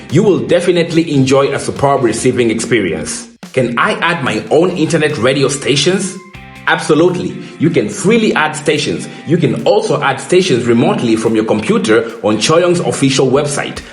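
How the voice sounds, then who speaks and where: A man presents a scripted product explainer in a measured, informative manner. His voice sits at a medium pitch and sounds roomy, with guitar music playing behind it.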